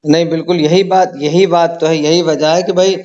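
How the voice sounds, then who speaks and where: A man with a high voice talks on a recorded phone call. He is deferential and conciliatory, agreeing often as he speaks. The recording is somewhat dull and lo-fi. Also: fairly even intonation, moderate pace, darkish tone. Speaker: caller. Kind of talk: phone conversation.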